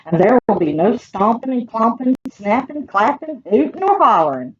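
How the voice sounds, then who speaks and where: A woman's voice: a little high, big pitch swings, normal speed. A woman voicing a stern male farmer in a children's storybook, announcing rules emphatically. The recording is dull and muffled. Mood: announcing, bossy. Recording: dull muffled audio with background noise.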